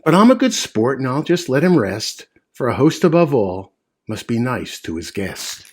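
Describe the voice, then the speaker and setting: A man doing a gentle, big-hearted moose. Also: mid-range voice, very animated, normal speed, breathy edge. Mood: patient. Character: kind, patient big male moose.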